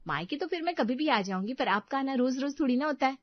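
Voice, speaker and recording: A woman's voice: high-pitched, animated, fast talker, no room sound, muffled recording, voice pushed up. A woman voicing a dutiful, emphatic young daughter-in-law in a family drama. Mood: insistent. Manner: earnest, emphatic.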